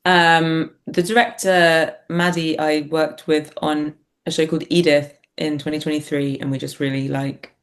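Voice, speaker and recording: A woman's voice: low-pitched, flat delivery, normal speed, hardly any pauses. A woman in a casual interview, speaking informally and hesitantly as a guest, often dropping in "like". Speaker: interview guest, theatre writer and performer.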